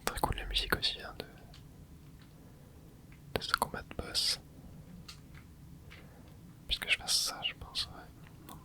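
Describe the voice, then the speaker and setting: A man hosting an ASMR channel, whispering soft, casual commentary to himself as he plays a video game, full of gamer slang. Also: a little slow, pauses often. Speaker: ASMR gaming channel host.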